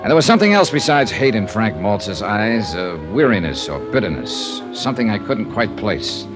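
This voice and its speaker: An American actor playing a hardboiled, sardonic insurance investigator in a 1950s radio drama, speaking in a clipped, wry way. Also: male voice, on the low side, very animated, normal speed, music in the background. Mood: reflective narration. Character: hardboiled wry male insurance investigator, narrating his cases. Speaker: lead actor and narrator. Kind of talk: 1950s radio detective acting. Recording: noisy old radio recording.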